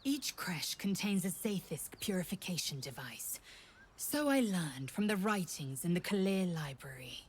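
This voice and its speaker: A woman's voice: on the low side, very animated, measured pace, slightly rough, exaggerated voice. A woman playing a severe young female alien warrior in a video game, with an imperious, disdainful, formal delivery. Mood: haughty, didactic. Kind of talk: scripted video game character acting.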